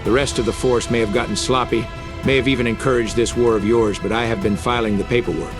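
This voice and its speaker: An actor playing a resolute, indignant crime fighter in a motion comic dub, speaking in a serious, insistent way. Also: male voice, mid-range voice, fairly even intonation, fast, natural voice. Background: dramatic music.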